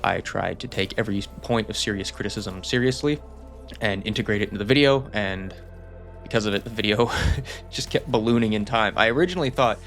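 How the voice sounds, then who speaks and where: A man's voice: on the low side, brisk, fairly bright tone, soft background music. A young man giving a casual, rambling channel update, self-deprecating and reassuring. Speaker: channel host.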